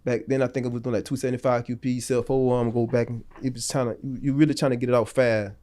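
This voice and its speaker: A man in his late thirties from North Florida talks as a podcast guest at an ordinary mid pitch. His delivery is loose and rambling, full of slang and restarts. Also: flat delivery, quick pace, warm tone. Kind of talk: casual storytelling interview.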